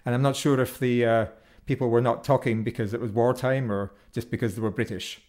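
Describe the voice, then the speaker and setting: A man from Scotland with a Scottish accent, speaking to an audience in an unhurried, reflective and affectionate way as he tells personal stories in tribute. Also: medium pitch, fairly even intonation, a little mellow. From Perth, Scotland. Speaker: folk musician and speaker at a memorial.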